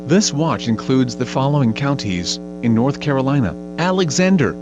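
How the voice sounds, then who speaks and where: A synthetic man's voice reads an automated weather bulletin as a radio announcer, in a formal, measured, impersonal way. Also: mid-range voice, animated. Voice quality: synthetic text-to-speech voice. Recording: dull lo-fi radio sound with mains hum.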